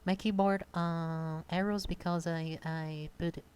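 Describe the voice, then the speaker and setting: A young woman from Brazil with a Brazilian Portuguese accent, speaking shyly and haltingly in an unscripted casual monologue at a home computer desk, close to her microphone, with many pauses and fillers. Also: on the low side, fairly even intonation, darkish tone, smooth. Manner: shy. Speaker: content creator.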